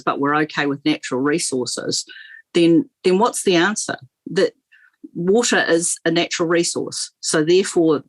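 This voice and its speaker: A woman's voice: low voice, dry recording. A woman, a guest on political talk radio, speaking earnestly with many restarts and repeated words.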